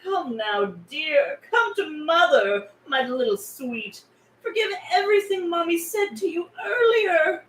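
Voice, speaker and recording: Woman as Mother Neptune, very high domineering villainess. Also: expressive, unhurried, fairly bright tone, full character voice. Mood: sickly sweet. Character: Mother Neptune, domineering villainess, sickly-sweet mother turning vicious. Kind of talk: theatrical script table read. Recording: echoey room, off the mic.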